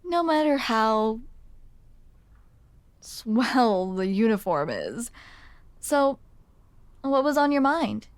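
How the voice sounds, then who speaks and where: Woman doing a tsundere barista girl, asmr roleplay, slow talking, shy teasing, very dry close mic. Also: a little high, big pitch swings, no echo, heavily acted. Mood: curious, wry. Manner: hesitant, teasing, self-deprecating. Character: grumpy but smitten tsundere barista woman, shy and wry. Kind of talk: ASMR roleplay, intimate one-sided conversation. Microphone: close microphone, dry.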